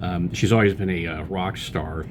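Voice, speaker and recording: A man's voice: deep voice, fairly even intonation, moderate pace, noisy background. A man hosting his own podcast, delivering a loose political commentary monologue full of fillers and restarts. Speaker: podcast host.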